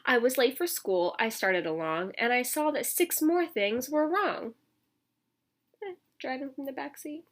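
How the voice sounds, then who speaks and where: Higher-pitched woman reading a children's story. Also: measured pace. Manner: expressive, emphatic story reading, prompting listeners with questions. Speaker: teacher reading a picture book aloud to pupils. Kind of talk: animated children's read-aloud with casual asides.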